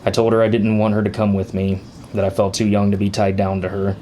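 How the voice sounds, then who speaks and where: Man voicing a quiet remorseful young son. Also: on the low side, fast talker, a little hiss or hum, close to an everyday voice. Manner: quiet, even confessional delivery. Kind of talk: audiobook character reading.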